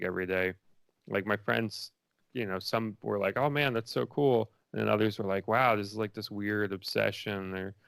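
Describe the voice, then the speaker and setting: Man as indie filmmaker guest, lowish voice, dry close sound, loose and chatty interview answers that wander. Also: creaky, fairly bright tone, clear, resonant tone, dry recording. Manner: rambling and informal, many likes and you knows.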